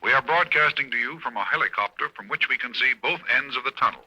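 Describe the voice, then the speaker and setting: A man playing an excited male radio announcer reporting from a helicopter in a 1940s radio drama, with brisk announcer delivery. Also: medium pitch, breathy edge, muffled recording.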